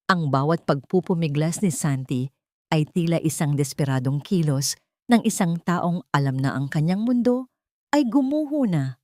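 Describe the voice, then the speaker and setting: A woman narrating a dramatic scripted story in a solemn, deliberate manner. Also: lowish voice, darkish tone, dry recording, studio quality. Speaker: narrator of audio drama love stories.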